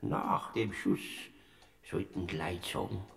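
Boandlkramer, a raspy creaky Death. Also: male voice, low voice, sing-song, takes it slowly, fairly bright tone, heavily acted. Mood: solemn. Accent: Bavarian. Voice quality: gravelly, raspy, drawling. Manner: hesitant, wheedling. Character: Boandlkramer, timid creaky male Death figure. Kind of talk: classic radio play acting.

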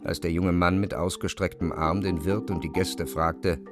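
A man's voice: low-pitched, fairly even intonation, moderate pace. A man narrating a scripted literary radio drama as its storyteller. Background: faint music.

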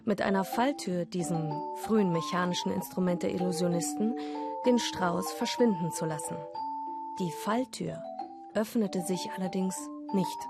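A woman's voice: fairly low pitch, moderate pace, over loud music. A woman narrating a scripted radio play as a storyteller.

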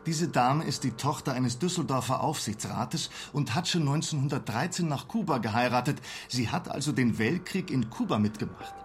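A man's voice: medium pitch, expressive, moderate pace, few pauses, close to an everyday voice. Wry, articulate ex-teacher played by a man, faint background noise. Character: mild former teacher, now a disillusioned toothpaste salesman. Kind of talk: German radio play dialogue.

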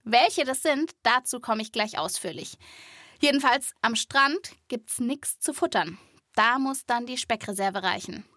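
A woman's voice: fairly high pitch, lively intonation, unhurried, sharp tone, dry recording, studio quality. A woman hosting a children's podcast, explaining things in playful, colloquial scripted narration.

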